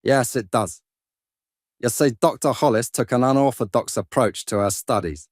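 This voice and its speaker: Man as measured religious brother, explanatory and formal, sci-fi audio drama, very dry studio sound. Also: medium pitch, no room sound, close to an everyday voice. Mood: explaining. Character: measured religious brother investigating a scientific mystery.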